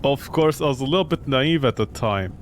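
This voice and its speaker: Calm old immigrant woman, played by a man. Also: mid-range voice, brisk, slight background noise, close to an everyday voice. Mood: nostalgic. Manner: recounting her life at length. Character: calm old immigrant woman, long-winded former policewoman.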